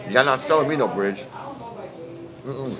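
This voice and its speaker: A man's voice: medium pitch, measured pace, a crowd in the background. A middle-aged man from New York with a Brooklyn accent, hosting a livestream and talking in an emphatic, preachy way full of street slang. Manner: emphatic, preachy, keeps asking "you understand me?"; street slang, animated storytelling. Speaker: livestream host. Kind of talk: casual livestream monologue.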